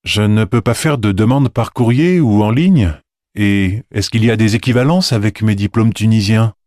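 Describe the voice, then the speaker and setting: A polite, helpful man, played by a male actor, speaking in a language-exam dialogue. His voice sits fairly low, in a dry, close recording. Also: moderate pace, a little mellow, no echo, lightly acted.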